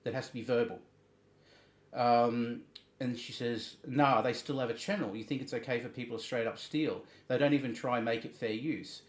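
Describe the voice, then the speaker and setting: A man hosting a livestream, reading messages and commenting in a halting, emphatic way, repeating words. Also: mid-range voice, fairly even intonation. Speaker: livestream host. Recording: roomy, echoing sound.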